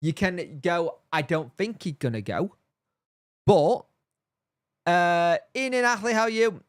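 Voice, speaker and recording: A man's voice: sits high, animated, takes it slowly, no room sound, clean studio sound. Bunged-up, nasal man chatting on a stream. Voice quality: nasal and throaty. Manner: rambling, repeats himself, answers chat by name. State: congested, bunged up. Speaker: fan channel host reading live chat. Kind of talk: casual live-stream chat.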